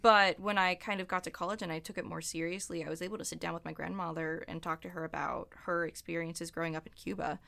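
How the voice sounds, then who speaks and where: Young American woman, slightly low pitch, chatty, friendly interviewer. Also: steady tone, quick pace, vocal fry, hardly any pauses, sharp tone. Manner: friendly, chatty, uses "like" often. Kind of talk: casual interview.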